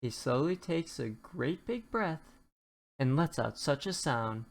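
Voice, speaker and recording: A narrator reading a rhyming children's storybook aloud in an expressive storytelling way. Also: mid-range voice, takes it slowly, gravelly. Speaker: children's book reader.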